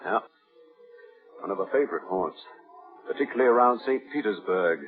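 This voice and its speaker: An actor as a low, menacing husband, tinny old radio. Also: male voice, animated, brisk, faint music behind, natural voice. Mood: eerily matter-of-fact. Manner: folksy, matter-of-fact, controlling. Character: menacing, domineering husband, quietly deranged killer. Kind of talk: 1950s radio thriller acting.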